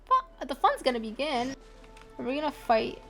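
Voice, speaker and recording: A woman with a high voice chats casually as she streams a video game. She reacts informally to what she plays, with music faintly behind her. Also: sing-song, moderate pace, vocal fry, fairly bright tone. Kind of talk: casual let's play reactions.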